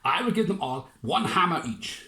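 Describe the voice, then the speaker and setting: A man's voice: fairly high pitch, big pitch swings, normal speed, bright, forceful tone, pitched above the performer's own voice. A man voicing a proud, blustering male army general who talks in commands, in an echoey room. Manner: commanding, barks orders. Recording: echoey room, faint noise.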